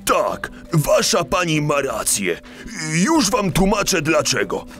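A man's voice: a little high, huge pitch swings, slow, a bit bright, faint music behind, heavily acted. A man voicing a friendly hippo dad, an enthusiastic ecologist explaining things to children in an audio play. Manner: enthusiastic, didactic, speaking to kids. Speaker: guest speaker to children.

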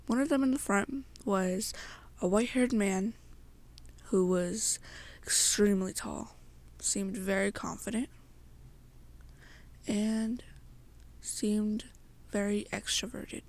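Young woman doing asmr storytelling, soft n really slow, bit of vocal fry, short choppy phrases. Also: a little high. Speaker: ASMR roleplay narrator.

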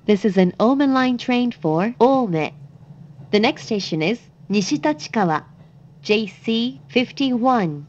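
A woman's voice: fairly high pitch, lively intonation, extremely slow, darkish tone, lo-fi sound. A woman reading a scripted train announcement, formal and carefully articulated. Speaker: English-language train announcer.

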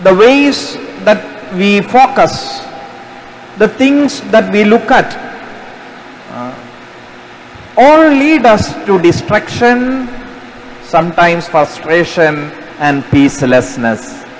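A priest in his forties delivers a homily from the pulpit, his fairly high voice carried through a stand mic. He speaks slowly and deliberately. The sound rings with a long cathedral reverb over a faint hiss. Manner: slow and deliberate, gesturing with raised hands. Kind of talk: sermon.

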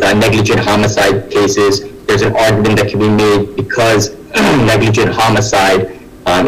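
A man gives formal legal testimony over a video call from an office. His voice is low and flat, and he explains hesitantly with many fillers. Faint music sits in the background. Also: low-quality recording. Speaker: deputy prosecuting attorney testifying.

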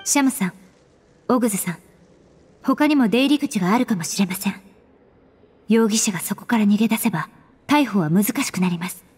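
A woman voicing a composed, polite young female investigator in an anime game, formal and analytical, with a soft breathy voice. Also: medium pitch, fairly quick, pauses often, natural voice. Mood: composed, resolute.

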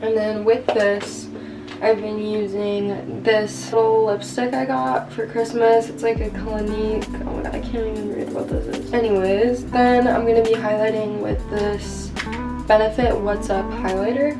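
A woman's voice: medium pitch, unhurried, distant mic, music in the background. A woman in her twenties chatting casually as she walks through her everyday makeup routine in her bedroom, talking to a camera set up at arm's length. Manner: chatty, relaxed, lots of "like" and "um". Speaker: vlogger. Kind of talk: casual makeup vlog chat. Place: small bedroom with bathroom doorway, indoors.

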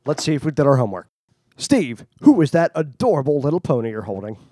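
A man's voice: medium pitch, very animated, fast, warm tone, dry recording, studio quality. A man hosting a podcast, asking his friends questions with a teasing, laughing manner. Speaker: podcast host. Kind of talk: joking podcast chat.

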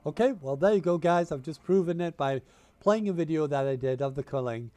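A man's voice: fairly high pitch, animated, fairly quick, a little mellow, dry recording. Man with a cold, tutorial voice. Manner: repeats "you wanna" instructions, informal and chatty. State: has a cold and a tickly cough. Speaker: channel host giving a tech tutorial. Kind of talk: casual step-by-step screen-recording tutorial. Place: home room, indoors. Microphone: gaming headset mic.

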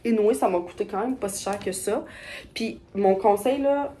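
A woman's voice: medium pitch, normal speed, warm tone, a little hiss or hum. A young woman with a Québécois French accent chatting casually in an upbeat, rambling way for her vlog, filmed on a phone held at arm's length. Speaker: vlogger. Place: bedroom and car.

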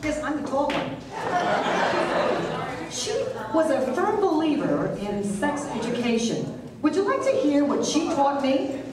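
A middle-aged woman doing a brassy, animated stand-up set on a comedy club stage, swooping between high and low for effect. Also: unhurried, pauses often. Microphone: handheld mic, recorded by far camera. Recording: roomy club sound from far off, crowd laughter. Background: audience laughter.

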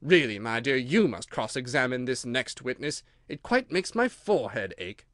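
A man's voice: medium pitch, sing-song, moderate pace, a bit bright, dry recording, clean studio sound. A man voicing a pompous, anxious, blustering king in a storybook reading, declaiming with emphasis. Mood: weary.

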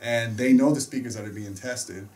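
A man's voice: medium pitch, steady tone, moderate pace, darkish tone. A middle-aged American man explaining things casually as a host, in an emphatic, opinionated way. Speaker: host and audio reviewer. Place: small indoor home theater room. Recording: distant mic in a room.

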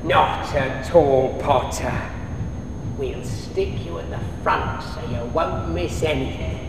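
An actor playing a bullying, sarcastic army sergeant pig with a British accent in a comic video game. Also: male voice, a little high, slow pace, vocal fry. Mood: sardonic. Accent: British English. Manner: barking, sarcastic, domineering. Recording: echoey hall, distant, background noise.

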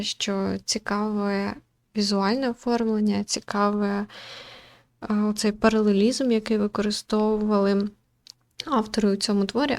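A woman hosting a game playthrough, reflecting casually and thoughtfully, often pausing to search for the right word. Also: medium pitch, darkish tone. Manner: hesitant, searching for words, thoughtful. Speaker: host of a visual novel playthrough.

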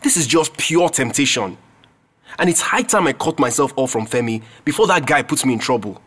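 A man's voice: mid-range voice, animated, fairly quick, fairly bright tone, slight background noise, close to an everyday voice. An actor with a Nigerian accent playing an anxious, reproachful young male student in a radio drama. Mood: troubled.

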